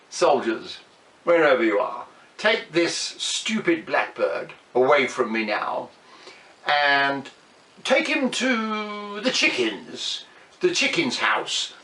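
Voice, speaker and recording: A man's voice: medium pitch, sing-song, takes it slowly, pushed and bright, heavily acted. A man doing a haughty, dismissive king. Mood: scornful. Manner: haughty, dismissive, barking orders. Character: pompous, cruel male king. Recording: echoey room, mic at a distance.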